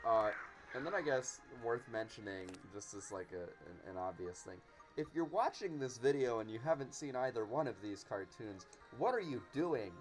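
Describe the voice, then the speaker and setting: A young man with a mid-pitched voice hosts an informal podcast conversation. He talks in a halting way, repeating words as he finds his point. Faint music runs underneath. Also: big pitch swings. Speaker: host. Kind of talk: casual podcast chat.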